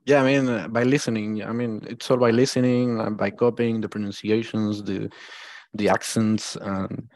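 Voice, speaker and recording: Brief: Argentinian male guest, Spanish native, somewhat low voice, halting answers, dry close sound. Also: level tone, darkish tone, dry recording. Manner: hesitant, lots of "uh, I mean", laughs often. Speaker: guest, metal vocalist. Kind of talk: casual interview answers.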